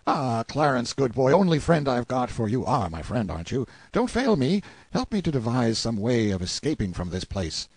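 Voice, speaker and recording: A man's voice: mid-range voice, very animated, moderate pace, darkish tone, husky, dry recording, voice pushed up. A man playing a brash, sardonic, boastful hero in an audiobook, with emphatic, exclamatory delivery. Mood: beseeching. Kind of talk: audiobook character acting.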